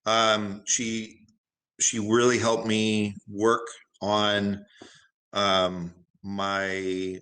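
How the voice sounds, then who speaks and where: Big-voiced man, slow and bright. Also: on the low side, completely flat delivery. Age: 40s. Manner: big carrying voice, former broadcaster; thoughtful, many fillers and restarts. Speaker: guest. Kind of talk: casual interview conversation.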